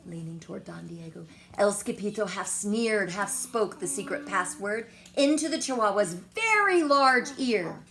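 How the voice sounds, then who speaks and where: A woman reading a children's storybook aloud in an expressive storytelling manner. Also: mid-range voice, measured pace, creaky, hardly any pauses. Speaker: storybook reader. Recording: some background noise.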